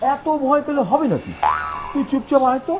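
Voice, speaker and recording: Guy doing cocky young dude in a kids cartoon, really high voice, super animated, brushes stuff off. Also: brisk. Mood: scoffing, bossy. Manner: scoffing, exaggerated, expressive. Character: brash, dismissive, self-serving young male friend. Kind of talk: children's cartoon story acting. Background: background music.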